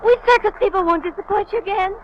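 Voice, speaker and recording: A woman's voice: very high-pitched, fast. An actress playing a coy, murderous female circus trapeze artist in 1940s radio acting, teasing and purring. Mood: pleading. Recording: dull lo-fi 1940s radio broadcast.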